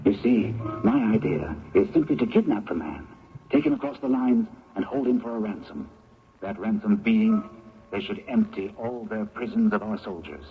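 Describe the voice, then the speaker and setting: An actor playing a fanatical assassin from the South, fervent, grandiose and melodramatic in an old radio drama. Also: male voice, fairly low pitch, huge pitch swings, normal speed, creaky, soft background music, exaggerated voice. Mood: scheming. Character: fanatical Southern assassin man, a dreamed memory. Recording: dull noisy old radio recording.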